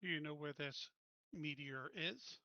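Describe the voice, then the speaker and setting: A man's voice: a little high, unhurried, pushed and bright, dry recording. A man playing in a tabletop roleplaying game, asking follow-up questions in a casual way. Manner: inquisitive, asks follow-up questions. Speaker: player. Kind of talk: casual in-character tabletop play. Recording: muffled lo-fi audio, dry.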